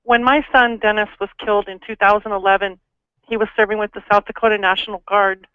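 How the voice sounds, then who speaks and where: A woman's voice: mid-range voice, fairly even intonation, quick pace, smooth, no room sound. A middle-aged woman calling in to a radio show, speaking earnestly over a narrow phone line.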